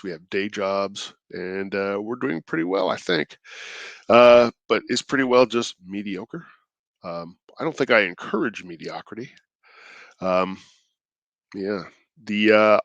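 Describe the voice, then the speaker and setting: A man with a stuffed-up cold voice, hosting his own channel in casual, halting commentary, mild-mannered and thinking aloud. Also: on the low side, fairly bright tone, no room sound. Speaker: indie creator and channel host.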